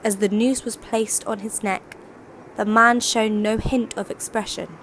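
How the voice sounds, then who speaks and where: A woman's voice: on the high side, steady tone, measured pace, slight background noise. A woman narrating a scripted, dramatic short story for an audio drama.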